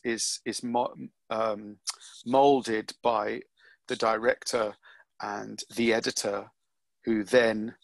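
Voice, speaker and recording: A middle-aged man answering interview questions about his acting career, reflective and unhurried, with frequent ums and restarts. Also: medium pitch, gravelly, a bit bright, no room sound, poor audio. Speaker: actor being interviewed.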